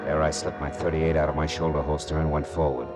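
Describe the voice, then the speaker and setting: An actor playing a wry, world-weary American private detective in a radio drama, sardonic and deadpan. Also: male voice, rumbling low, fast, over loud music. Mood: tense narration. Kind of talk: old-time radio detective acting and narration.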